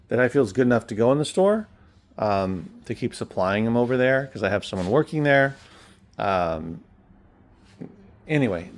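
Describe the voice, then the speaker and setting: Male, lower-pitched, unscripted reseller vlogger with a casual, rambling delivery. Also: a little mellow. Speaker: online reseller and bookstore owner. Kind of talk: casual chat.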